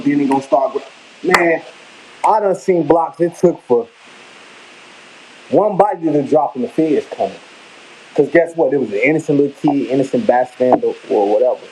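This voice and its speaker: A man's voice: a little high, warm tone, husky, low-quality recording. Rambling, emphatic Chicago man. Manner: rambling, emphatic, slangy, repeats himself. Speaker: vlogger. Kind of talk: casual live commentary. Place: home kitchen.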